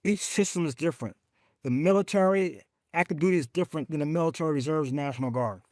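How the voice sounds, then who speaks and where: Emphatic, animated veteran advocate man. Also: quick pace, dry recording, clean studio sound. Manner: emphatic, animated storytelling, speaks of faith; rising pitch when excited. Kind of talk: podcast conversation.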